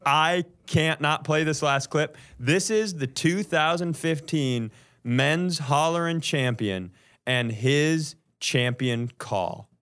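A man with a fairly high voice hosts a comedy podcast. He chats casually and chuckles through his crude, joking commentary. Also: takes it slowly, fairly bright tone. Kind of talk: casual comic banter.

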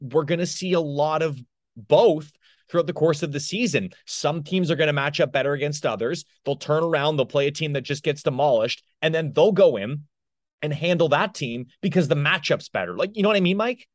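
A man's voice: fairly high pitch, fairly quick, dry recording, studio quality. A man co-hosting a sports podcast in casual, loose analysis, rephrasing as he goes.

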